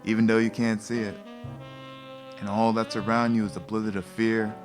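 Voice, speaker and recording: Brief: male actor as aging prizefighter, gentle and earnest, lowish voice, audio drama with score. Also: little pitch movement, moderate pace, close to an everyday voice. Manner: soft-spoken, earnest, reflective. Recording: music playing under the voice.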